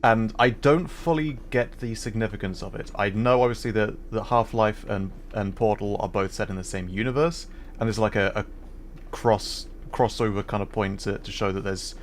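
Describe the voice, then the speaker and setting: A man's voice: on the low side, normal speed, slight background noise. A man livestreaming a video game, giving casual commentary and explaining things in a hesitant, thoughtful way.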